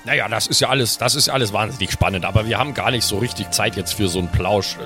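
Man as dwarf cleric, lower voice, impatient, suspicious, wordy, music behind. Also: quick pace, pushed and bright.

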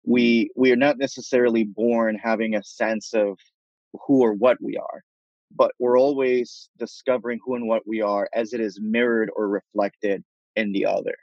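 A man from Puerto Rico speaking as a thoughtful podcast guest, laying out his ideas in articulate, measured phrases. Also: fairly low pitch, level tone, darkish tone, no echo, dull audio. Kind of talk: reflective interview conversation.

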